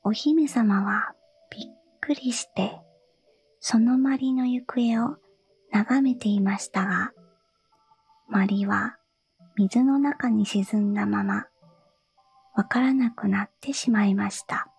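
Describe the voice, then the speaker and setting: A woman reading a fairy tale aloud in a soft, breathy whisper. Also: mid-range voice, slow, pauses often, faint music behind.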